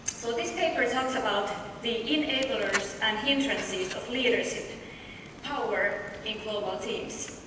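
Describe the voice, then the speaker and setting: Finnish-accented woman presenting in echoey hall. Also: on the high side. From Finland. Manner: measured, explanatory, poses rhetorical questions. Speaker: conference presenter. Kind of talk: academic paper presentation. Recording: echoey distant hall recording with noise.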